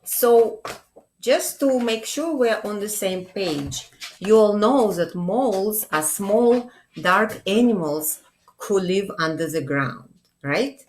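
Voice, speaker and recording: A woman in her forties, a children's librarian, telling a picture-book story to young children in a deliberate, expressive way with check-in questions. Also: mid-range voice, slight background noise, low-quality recording. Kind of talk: read-aloud storytime addressed to young children. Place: small indoor room, plain wall.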